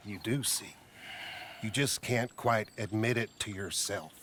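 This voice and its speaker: An actor playing a contrite, timid frontier reverend in a Western video game, speaking in a hesitant, earnest way. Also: male voice, lowish voice, a little slow, a bit bright, slight background noise. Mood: quiet conviction.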